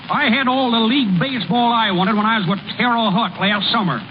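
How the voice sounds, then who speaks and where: An actor playing a lazy, cocky, food-loving small-town ballplayer in a folksy, boastful, old radio comedy style. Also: male voice, sits high, close to an everyday voice. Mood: mildly insistent. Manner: folksy, unhurried, boastful, ungrammatical. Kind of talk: 1930s-40s radio comedy acting. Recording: muffled, noisy old radio broadcast.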